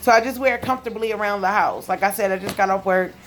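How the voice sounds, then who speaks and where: A woman's voice: mid-range voice, quick pace. A woman with a lisp talking casually and emphatically to her viewers in a home video, repeating key points. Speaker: video blogger giving health testimony. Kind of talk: casual direct-to-camera chat. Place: living room at home.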